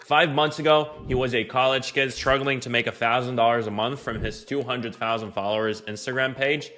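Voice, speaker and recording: A man hosting an interview as a business coach, opening with an upbeat hype-style pitch before chatty questions. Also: steady tone, a little fast, a bit bright. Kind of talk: scripted intro then casual interview.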